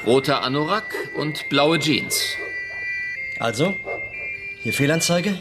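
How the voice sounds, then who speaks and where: A man's voice: a little high, animated, slow, loud music behind the voice. An actor playing a terse, official policeman on patrol in a radio drama.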